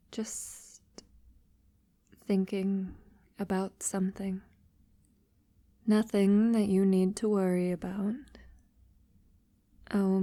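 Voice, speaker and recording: A woman playing a centuries-old, wealthy vampire lover in an intimate ASMR audio roleplay, soft-spoken and half-whispering with hesitant pauses. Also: medium pitch, no echo, close to an everyday voice. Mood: hesitant, uneasy.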